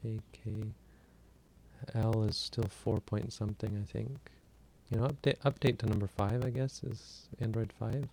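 A man giving a relaxed, plainspoken meditation talk and answering questions on a livestream. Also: fairly low pitch, vocal fry, a little hiss or hum, poor audio. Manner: plainspoken, unhurried, loosely conversational. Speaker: meditation teacher.

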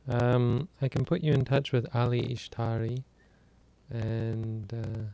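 A man giving a relaxed, plainspoken meditation talk and answering questions on a livestream. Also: medium pitch, gravelly. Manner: plainspoken, unhurried, loosely conversational. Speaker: meditation teacher.

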